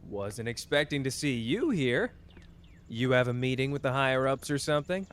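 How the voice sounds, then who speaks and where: A man's voice: mid-range voice, lively intonation, moderate pace, a bit bright, smooth, natural voice. A man playing a cocky, playful young sorcerer teacher in an ASMR roleplay, breezy and teasing as he speaks to the listener. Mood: breezy, casual. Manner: breezy, teasing, self-assured.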